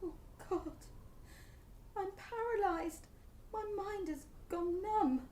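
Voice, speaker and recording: Woman acting high-voiced distraught British mother, echoey. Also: darkish tone, slight background noise. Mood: shocked, numb. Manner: emotional, halting. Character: distraught middle-aged mother, grief-stricken and bitter. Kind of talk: radio play acting. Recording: echoey room.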